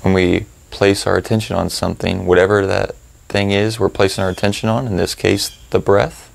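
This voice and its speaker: A man leading a guided meditation as a teacher, calm and unhurried, leaving long pauses as he gives instructions. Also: low voice, gravelly.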